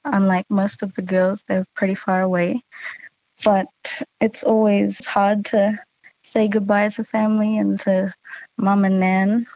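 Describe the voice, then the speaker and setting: A young Australian woman in her late teens talks slowly and earnestly in a flat, level tone. Her relaxed delivery has casual fillers. The recording is dry and slightly dull. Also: mid-range voice, dry recording. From Queensland, Australia. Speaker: student sharing words of her language. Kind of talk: personal talk.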